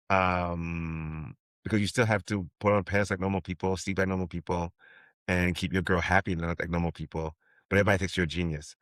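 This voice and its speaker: Deep-voiced man musing in an interview. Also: a bit bright, dry recording. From Haiti. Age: 50s. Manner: rambling, many restarts and ums. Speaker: novelist guest. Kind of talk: interview conversation.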